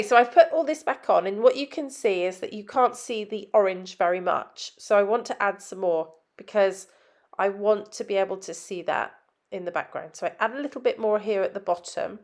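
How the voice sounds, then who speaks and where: A woman talks through her crafting process as a voice-over, her voice a little nasal because she is getting over a head cold. She explains each step in a chatty, easygoing way, with plenty of ums, at a middling pitch. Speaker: scrapbook designer. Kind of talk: voice-over tutorial narration.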